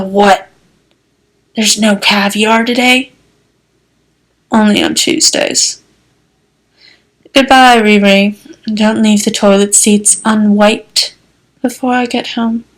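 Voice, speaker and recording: A woman's voice: medium pitch, lightly acted, rough recording. A woman playing a snobbish cold mother. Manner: curt, condescending. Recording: music playing behind.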